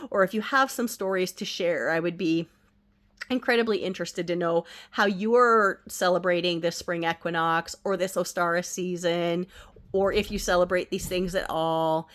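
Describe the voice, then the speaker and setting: A middle-aged woman with a stuffed-up, nasal voice from a head cold, gently reading oracle cards at home and sharing the messages. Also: fairly low pitch. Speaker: oracle card reader, channel host. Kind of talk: casual spiritual card reading. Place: at home, indoors.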